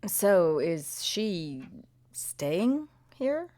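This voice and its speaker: A woman's voice: fairly low pitch, big pitch swings, drawn-out words, dark tone, heavily acted. An actress playing a sardonic, stressed woman homeowner who talks with dry, clipped sarcasm. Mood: wry. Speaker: lead character.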